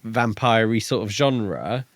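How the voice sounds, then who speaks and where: A man's voice: on the low side, slow pace, dry recording. A man, co-hosting a podcast in a casual book review chat. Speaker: podcast co-host.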